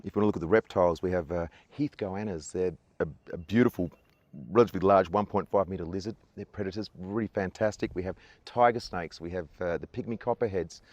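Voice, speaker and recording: A man's voice: low-pitched, darkish tone, dry recording, dull audio. An Australian man from Adelaide, working as a tour guide, answers interview questions outdoors in a casual, enthusiastic and earnest way, and keeps repeating his praise. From Adelaide, South Australia. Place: outdoors on an island.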